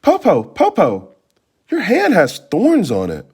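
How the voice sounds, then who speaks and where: A man plays a clever young girl from a storybook, the bold eldest sister. The voice lifts high and swings widely in a sing-song, questioning way. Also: wildly swooping pitch, slow pace, darkish tone, breathy edge, full character voice. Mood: wary, questioning.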